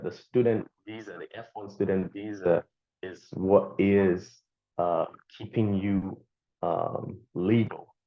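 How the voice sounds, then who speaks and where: A Nigerian man with a very low voice speaks as an interview guest. He pauses often, repeats himself and fills gaps with ums. The sound is dull and lo-fi. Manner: halting, many fillers and repeated words. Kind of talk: conversational interview answers.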